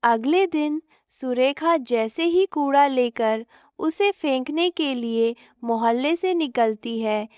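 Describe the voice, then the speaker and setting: A woman's voice: high-pitched, slow, darkish tone, clear, resonant tone, no room sound, studio quality. A woman narrating a scripted moral story as its storyteller.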